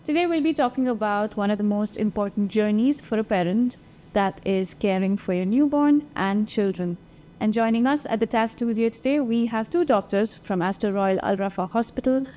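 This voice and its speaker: Woman, podcast host, reads questions smoothly, medium voice. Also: medium pitch, dark tone, poor audio. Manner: smooth, clear, read questions. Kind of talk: scripted interview questions.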